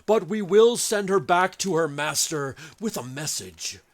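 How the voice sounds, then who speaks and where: An actor as stern, grandiose resistance leader, dramatic swoops. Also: male voice, on the high side, animated. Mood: stern, merciless. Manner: grandiose, theatrical, deliberate pauses. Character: stern, righteous doctor leading common-sense resistance. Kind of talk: audio drama acting.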